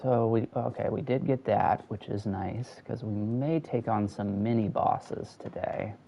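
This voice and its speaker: A man with a low, gravelly, slightly raspy voice chats casually through a walkthrough while he plays. He stays close to the mic and keeps his voice soft. A faint noise floor sits under the recording. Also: unhurried. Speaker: gaming walkthrough host. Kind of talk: casual live gameplay chat. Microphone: console headset or close mic.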